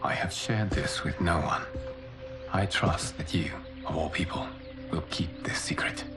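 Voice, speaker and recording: A man voicing a calm, grave male warrior protector who speaks in a measured, solemn way. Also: deep voice. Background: music.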